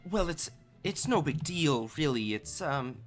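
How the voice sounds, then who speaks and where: A man's voice: fairly high pitch, animated, a little slow, faint music behind, pitched above the performer's own voice. A man voicing a nervous, polite young female museum employee in a tabletop game, hesitant and apologetic.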